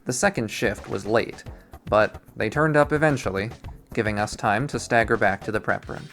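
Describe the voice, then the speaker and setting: A streamer narrating a visual novel readthrough in an expressive, performative way. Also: mid-range voice, moderate pace, faint music behind. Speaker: video game readthrough streamer.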